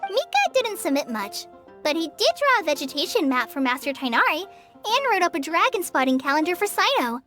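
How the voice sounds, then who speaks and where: High-voiced, friendly young girl. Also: big pitch swings, a little fast, pushed and bright, exaggerated voice. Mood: informative. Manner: encouraging, clearly explaining. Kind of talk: video game voice acting. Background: faint game music.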